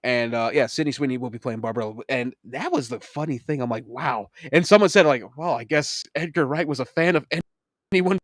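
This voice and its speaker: A man in the middle of a loose podcast chat, excitable and quick to break into laughter. He swears freely and his pitch sits mid-range. The sound is dry and close, with no room echo. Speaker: podcast co-host. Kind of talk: casual chat.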